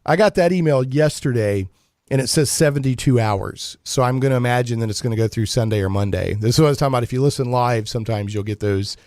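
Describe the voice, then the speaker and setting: A man's voice: medium pitch, fast, a little mellow, dry recording, studio quality. A man hosting a talk show, chatting casually in a rambling, joking, mock-serious way. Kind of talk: casual chatty radio banter.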